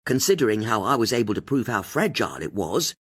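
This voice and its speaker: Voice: mid-range voice, sing-song, quick pace, fairly bright tone, breathy edge. An audiobook narrator voicing a blunt, indignant woman amateur detective, forthright and a little boastful. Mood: proud, defensive. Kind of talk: audiobook character dialogue.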